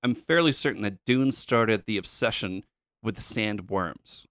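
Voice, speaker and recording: Low-voiced man chatting about retro games. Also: a little slow, no room sound. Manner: relaxed, explanatory, frequent fillers. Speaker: podcast co-host.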